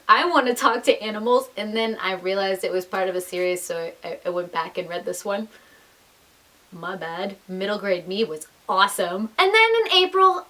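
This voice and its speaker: A woman's voice: high voice, sharp tone. A young adult woman chatting casually to viewers as a book vlogger, enthusiastic and emphatic, with self-deprecating asides.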